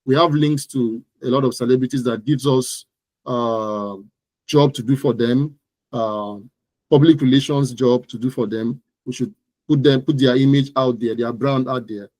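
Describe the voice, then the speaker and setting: A Nigerian man speaks as an interview guest about his work. His voice sits at a middling pitch in a dry recording, and he stresses points by repeating his phrases. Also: fairly even intonation, normal speed, darkish tone, dry recording, poor audio. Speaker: interview guest, digital marketing entrepreneur. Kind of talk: conversational interview about own business.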